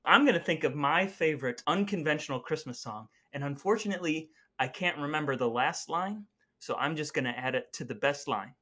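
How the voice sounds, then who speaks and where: A man's voice: fairly high pitch, fairly quick, sharp tone. A man co-hosting a podcast in a chatty, partly scripted way, with a playfully mock-grandiose manner. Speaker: podcast co-host.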